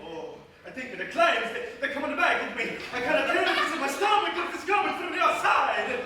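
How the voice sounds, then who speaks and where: A man playing an excitable, effusive diner cook in live radio comedy theatre. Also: extremely high pitch, fairly quick, over a noisy crowd. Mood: excitable, boastful. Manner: effusive, repetitive. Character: excitable, clam-loving Italian diner cook. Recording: echoey theatre stage, distant mic, faint noise.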